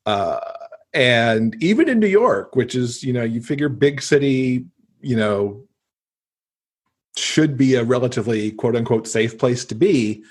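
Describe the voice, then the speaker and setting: A man with a slightly husky, mid-pitched voice talks as a guest in a casual interview. He explains things at length in a conversational way, often adding asides as he goes. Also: animated, a little slow. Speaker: podcast guest.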